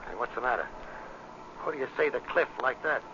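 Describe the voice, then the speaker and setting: A man's voice: medium pitch, a little fast, natural voice. An actor playing a brash, pushy, lustful tough guy in a 1940s radio drama, talking in impatient, wisecracking slang. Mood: cocky, amorous. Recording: noisy, muffled old radio recording.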